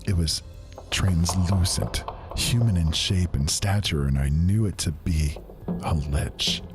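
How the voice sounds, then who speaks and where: A man narrating a horror story with slow, dramatic intensity. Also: deep voice, music in the background.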